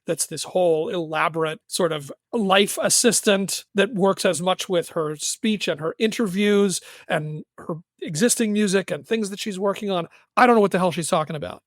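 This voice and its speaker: A man's voice: sits high, no echo. Man chatting casually, very close dry sound. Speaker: podcast co-host and music reviewer. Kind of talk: casual music podcast chat.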